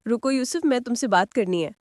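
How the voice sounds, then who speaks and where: A woman's voice: fairly high pitch, animated, a little fast, darkish tone, no room sound, clean studio sound, lightly acted. A woman storyteller voicing a secretive, bossy young sister who speaks in an urging way. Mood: urgent.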